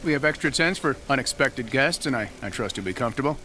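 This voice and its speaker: A man's voice: mid-range voice, animated, fast talker, slight background noise. An actor playing a courteous, slightly flustered male camp host, hesitant and polite, in a radio drama. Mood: polite, welcoming. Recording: loud music under the voice.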